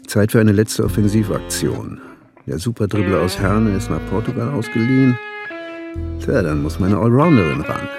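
An actor playing a gruff, middle-aged police inspector in a radio crime drama, wry, brusque and colloquial. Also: male voice, lowish voice, heavily acted. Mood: pressing, brusque. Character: gruff, sardonic male police chief inspector. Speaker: police detective.

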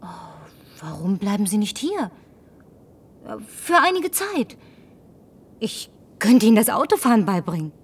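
An actress playing a brash, lonely survivor in a German science-fiction radio play, speaking in a brisk, sardonic, bossy way. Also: female voice, medium pitch, very animated, slightly rough, distant mic, full character voice. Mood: confiding, coaxing. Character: brash, lonely woman, last woman in deserted New York.

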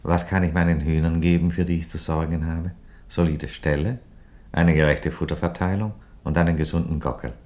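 A Roman emperor in a radio drama, his voice extremely deep. He reasons calmly and philosophically, with dry irony and polite, measured phrasing. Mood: calm reasoning. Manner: measured, philosophical, drily courteous. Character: calm, ironic, reasonable Roman emperor who loves chickens. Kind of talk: theatrical radio play dialogue.

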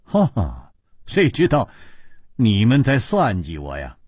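A man voicing a calm, wry, world-weary martial arts hero in a measured, hesitant drawl. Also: on the high side, no room sound, clean studio sound, full character voice. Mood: wry, resigned.

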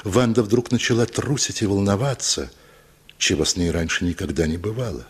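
A man narrating a literary story for a radio play, telling it expressively with dramatic pauses. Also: on the low side, slightly rough.

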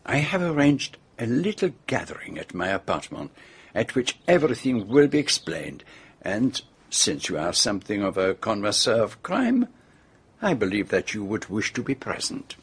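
An actor playing a shrewd, formal foreign detective with a French-speaking European accent in a radio drama. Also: male voice, fairly low pitch, big pitch swings, normal speed, husky, heavily acted. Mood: grave, courteous. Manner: formal, precise, courteous. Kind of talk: radio drama acting, first-person narration.

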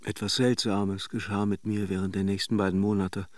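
An actor playing a brooding, introspective lover in a radio drama, speaking measured, self-questioning lines. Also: male voice, fairly low pitch, level tone, dry recording, close to an everyday voice. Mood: wry, melancholy. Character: brooding male narrator-lover arguing with his own doubts. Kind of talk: literary radio drama monologue.

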